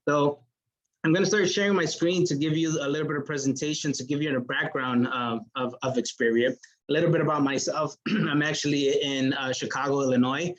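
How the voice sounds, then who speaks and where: Sales manager pitching over a video call, higher male voice, muffled audio. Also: steady tone, brisk. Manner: earnest motivational pitch, many fillers. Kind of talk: online business presentation.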